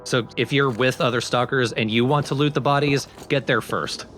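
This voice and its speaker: A man's voice: medium pitch, fairly even intonation, normal speed, fairly bright tone. A man hosting a let's play, explaining the game in casual commentary. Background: video game music.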